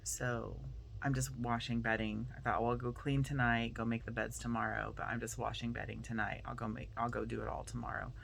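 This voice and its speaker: A middle-aged Southern woman talks into her phone held at arm's length, rambling along with plenty of fillers. Her voice carries a gravelly fry, and faint noise hums in the background. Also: extremely low pitch, little pitch movement, fairly quick. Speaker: vlogger and teacher. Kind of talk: casual vlog chat to camera. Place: outside by her car at school, then indoors at night.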